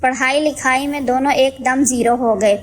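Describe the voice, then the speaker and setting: A woman playing a jealous elder sister-in-law and mother in an animated story, sarcastic, scolding and accusatory. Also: high-pitched, moderate pace. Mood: scolding, bitter. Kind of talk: Hindi animated moral story dialogue.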